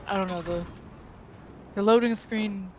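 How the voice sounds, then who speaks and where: A woman's voice: medium pitch, fairly even intonation, measured pace, a little hiss or hum. A woman chatting casually as a co-commentator on a video game stream. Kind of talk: casual chat.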